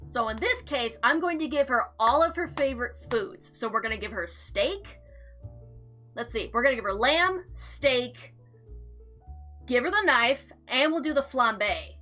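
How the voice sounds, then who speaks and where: A woman giving casual, chatty gameplay commentary and reading visual novel text aloud in an expressive, theatrical way. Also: fairly high pitch, measured pace. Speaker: game player and narrator. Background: background music.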